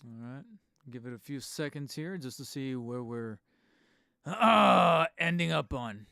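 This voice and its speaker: Slightly hoarse man chatting on a stream. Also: mid-range voice, lively intonation, measured pace, bright, forceful tone, no echo, clean studio sound. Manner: rambling, self-mocking, with fillers and restarts. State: a little hoarse and tired. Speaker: channel host streaming live. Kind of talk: casual live-stream chat. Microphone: studio microphone close up.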